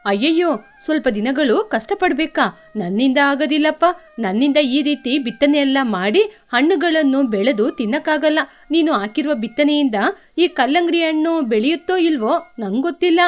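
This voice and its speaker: A woman's voice: sits high, expressive, brisk, faint music behind. A woman voicing a lazy, scornful female crow neighbour in a children's cartoon, mocking and complaining as she talks.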